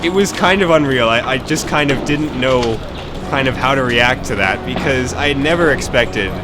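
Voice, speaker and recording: A man's voice: medium pitch, normal speed, background noise. Modest mid-voiced teen guy. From New Jersey. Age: late teens. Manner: modest, searching for words. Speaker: prep school scholarship student. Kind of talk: testimonial interview. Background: loud background music.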